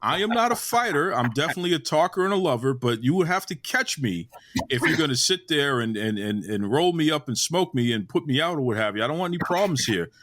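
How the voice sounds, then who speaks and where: A man's voice: fairly high pitch, sing-song, fast, fairly bright tone, no echo. A man with a Boston accent hosting a wrestling interview, chatting in a jokey, rambling, self-deprecating way. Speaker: podcast host. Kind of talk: casual interview chat.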